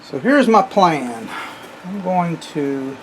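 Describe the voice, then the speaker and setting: A man's voice: fairly high pitch, slow, a little hiss or hum, low-quality recording. A man in his fifties restoring an antique radio part in his home workshop, talking to himself as he works. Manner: relaxed, talks to himself while working, cheers at small wins. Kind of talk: hands-on workshop commentary.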